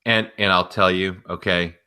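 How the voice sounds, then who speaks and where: A man's voice: low-pitched, fairly even intonation, normal speed, pushed and bright, clean tone. A man co-hosting a casual wrestling podcast, giving informal, matter-of-fact critical commentary. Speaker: podcast co-host.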